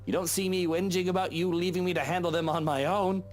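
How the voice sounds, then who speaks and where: A man's voice: sits high, fairly even intonation, quick pace, pitched above the performer's own voice, natural voice. A man voicing a drunk, jealous husband with a sneering, patronizing drawl. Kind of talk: voicing a visual novel character.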